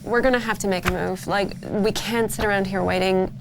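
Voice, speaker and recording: A woman's voice: medium pitch, steady tone, a little fast, creaky, background noise, lightly acted. A woman playing a no-nonsense, world-weary colony officer in a tabletop game, firm and practical. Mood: firm, impatient.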